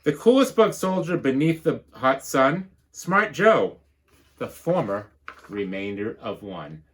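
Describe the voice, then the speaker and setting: Male storybook reader, teacher-like, rhythmic, highly animated intonation. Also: slow pace. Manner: rhythmic rhyme reading, dropping into quiet explanatory asides. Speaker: teacher reading a picture book aloud. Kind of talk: animated read-aloud storytelling with asides.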